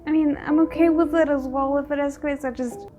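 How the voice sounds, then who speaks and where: Woman, high-pitched voice, casual reaction host, wry rhetorical questions. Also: fairly even intonation, fast, mellow, highs cut off. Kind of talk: casual live reaction commentary to a drama series.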